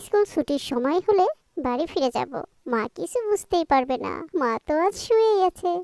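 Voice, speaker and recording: A woman's voice: sits high, expressive, a little fast, darkish tone, dry recording. A woman voicing a sly, whiny young schoolgirl in a cartoon. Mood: sneaky. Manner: whiny, childish, scheming. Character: sly young schoolgirl who fibs to dodge chores. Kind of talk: cartoon voice acting.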